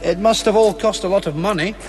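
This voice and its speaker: An actor playing a police inspector in a radio drama, asking measured, probing questions. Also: male voice, high-pitched, noisy background, close to an everyday voice.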